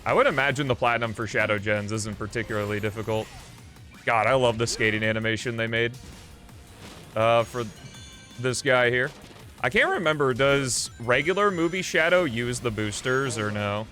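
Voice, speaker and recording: A man's voice: mid-range voice, moderate pace, sharp tone. Man doing casual game stream commentary over music. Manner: informal, rambling, thinking aloud. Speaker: livestream host. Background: video game music.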